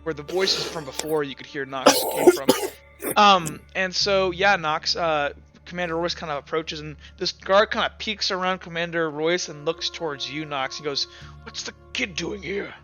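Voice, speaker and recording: A man's voice: on the high side, moderate pace, bright, forceful tone. A man improvising narration as a tabletop game master, in hesitant, piecemeal bursts full of fillers. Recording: dull audio, faint music behind. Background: faint music.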